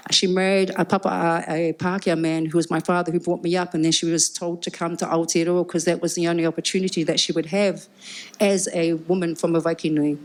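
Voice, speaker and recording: A woman's voice: lowish voice, flat delivery, brisk. A middle-aged woman with a New Zealand accent, first language Cook Islands Māori, speaking candidly and reflectively as a panel guest before a live audience. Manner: forthright, reflective, frequent "um" and restarts.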